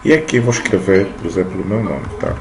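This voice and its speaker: A man's voice: low-pitched, expressive, fast talker, gravelly. A man in his thirties teaching programming while typing code on screen, often checking in with "tá?". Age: 30s. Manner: talks while typing, frequent "tá?" check-ins. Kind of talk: screen-recorded coding lesson. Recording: noisy room ambience.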